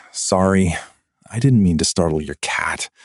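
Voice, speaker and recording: Brief: weary stranger, voiced by a woman, very low and husky, dry close sound. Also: measured pace, no room sound, full character voice. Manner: tired, mumbling and apologetic. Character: weary, wary adult man crawler.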